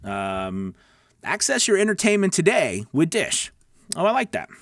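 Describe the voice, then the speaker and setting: A man's voice: fairly high pitch, huge pitch swings, a little slow, no echo. Casual male voice-over coach, dry close sound. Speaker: voice-over coach and show host. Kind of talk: casual tutorial talk with demo script reads.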